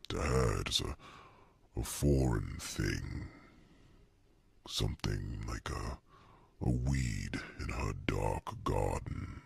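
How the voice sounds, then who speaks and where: A man playing an ancient, kindly, wry druid mentor in a fantasy ASMR roleplay, with a breathy, gravelly rasp and deliberate, theatrical pauses. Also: rumbling low, no echo, full character voice. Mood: wry, gentle, hushed.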